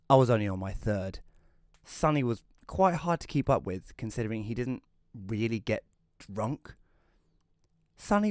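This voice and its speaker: Male actor as downbeat thirties widower, audio drama narrator. Lowish pitch, wry self-mockery, very dry acoustic. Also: no room sound, natural voice. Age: 30s. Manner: dry, deadpan, self-deprecating. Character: glum thirty-year-old widowed man, self-deprecating agony-aunt columnist. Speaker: narrator and lead character. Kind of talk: first-person scripted narration in audio drama.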